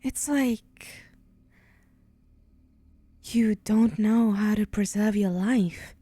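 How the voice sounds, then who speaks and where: Woman playing a condescending female demon for ASMR roleplay: unhurried, dark and mellow, teasing menace. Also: mid-range voice, no room sound. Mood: teasing, haughty. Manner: condescending, teasing, deliberate, with breathy whispered moments.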